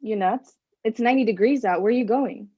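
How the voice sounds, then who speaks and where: A woman's voice: a little high, fairly quick, slightly rough. Blunt, friendly actress as an activist woman. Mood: friendly, insistent. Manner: direct, casual, insistent. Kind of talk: naturalistic staged play reading. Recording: slightly muffled lo-fi sound.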